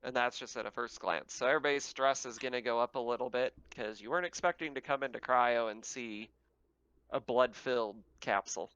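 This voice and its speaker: A man's voice: medium pitch, fairly quick, fairly bright tone, clear, resonant tone, no room sound. Man running a tabletop game, muffled recording. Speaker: game master. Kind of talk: narrating a tabletop game, casual.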